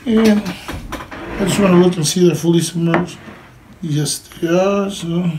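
A middle-aged man with a noticeably high-pitched voice talks through a hands-on demonstration. He is informal and plain-spoken. The home recording has clear background noise, picked up by a camera across the table. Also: steady tone, measured pace. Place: indoors, small room with cloth backdrop.

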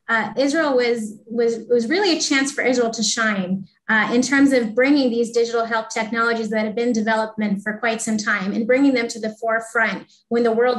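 Guest: upbeat woman with a Southern US accent, thirties, fairly high voice, video call. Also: low-quality recording. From Atlanta, Georgia, US. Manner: upbeat, articulate, occasional filler ums. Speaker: marketing communications partner. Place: room by a bright window.

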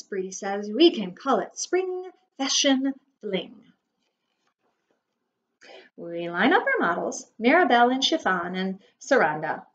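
A middle-aged woman preschool teacher reading a picture book aloud expressively to young children, with dramatic emphasis and simple explanations. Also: fairly high pitch. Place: indoor room at home. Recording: dull webcam audio with faint noise.

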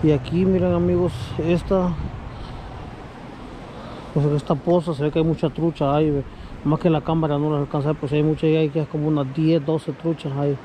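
A chatty man narrates his fishing trip to his viewers, talking to them as friends. His voice sits a little high. The body-worn camera picks up clear wind and outdoor noise around him. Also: normal speed, lo-fi sound. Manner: chatty, addresses viewers as friends, excited when fish bite. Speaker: fishing vlogger. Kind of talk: casual outdoor commentary to viewers. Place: outdoors on a frozen reservoir and by a creek. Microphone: action camera worn on the body.

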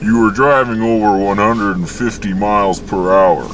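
A man's voice: fairly low pitch, fairly even intonation, normal speed, a bit bright. A man playing a stern state trooper, officious and a little hesitant, in a homemade comedy video. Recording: distant mic with background noise.

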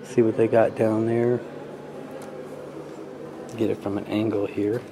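A man films a casual walk-through and comments as he goes, sounding quietly awed. His voice sits on the low side and he speaks very slowly, with clear noise behind him on the handheld camera audio. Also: little pitch movement. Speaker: amateur videographer and music fan. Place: inside a church beside the organ. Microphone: handheld camera, operator behind it.

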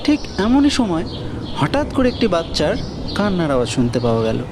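A man's voice: on the high side, wildly swooping pitch, a little fast, background noise. A man reading scripted narration for a cartoon folk story.

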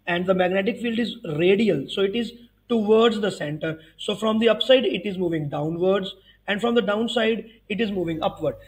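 A man's voice: high-pitched, poor audio. A man in his thirties giving an explanatory physics tutorial, emphatically repeating key phrases. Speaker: physics teacher.

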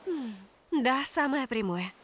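A woman playing a brisk, caring, authoritative adult training supervisor in an animated series dub. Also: high voice, full character voice. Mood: grave. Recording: music behind the voice.

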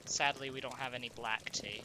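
A man's voice: mid-range voice, steady tone, brisk, gravelly, background noise. A man with a stuffed-up voice, casually talking through his gameplay and asking himself questions as he goes. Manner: chatty, thinks aloud, asks himself questions. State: stuffed up, congested. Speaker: let's play gamer.

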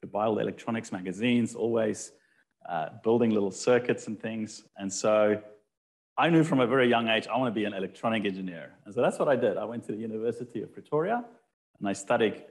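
A South African man in his forties telling a meandering, relaxed story of his career as a startup founder at a live talk. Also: lowish voice. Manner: meandering, relaxed, with fillers and light laughs. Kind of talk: casual storytelling presentation. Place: event hall talk.

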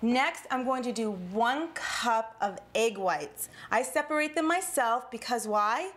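A woman's voice: on the high side, animated, measured pace, a bit bright, slight background noise. A woman in her thirties hosting a TV cooking show in a studio kitchen, explaining each step with upbeat rhetorical questions. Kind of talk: scripted TV cooking demonstration. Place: home-style studio kitchen, indoors.

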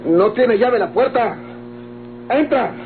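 Radionovela role: violent, suspicious Mexican man, gruff and insulting, high register, thin vintage sound. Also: lively intonation, slow pace, noisy background, full character voice. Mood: irritated, gruff. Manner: gruff, threatening, insulting, rustic speech. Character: paranoid, violent, ill-tempered man with a pistol. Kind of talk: golden-age Mexican radionovela acting. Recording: old tinny radio recording with music.

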